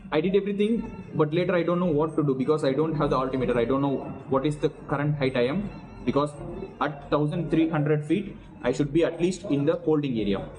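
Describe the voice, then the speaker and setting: A man's voice: on the high side, darkish tone, poor audio. A man vlogging a casual debrief, earnestly walking through what he did step by step. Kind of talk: casual personal debrief to camera.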